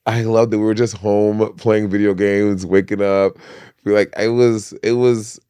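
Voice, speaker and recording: A man co-hosting a podcast in casual chat, animated and campy, who swears freely. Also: fairly low pitch, a little slow, clear, resonant tone, no echo, studio quality. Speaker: podcast co-host.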